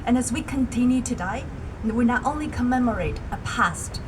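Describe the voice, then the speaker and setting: A woman with a somewhat high voice delivers a prepared speech at an outdoor solidarity rally. Her delivery is measured and emphatic, building to rallying calls. The recording is noisy, with traffic clearly audible around her. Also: animated. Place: outdoor public gathering.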